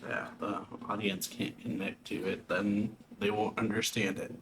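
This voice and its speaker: A man's voice: lowish voice, flat delivery, measured pace, fairly bright tone. A man giving casual, laid-back gameplay commentary in brief offhand remarks. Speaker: gamer doing a let's play. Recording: echoey room mic with clear noise.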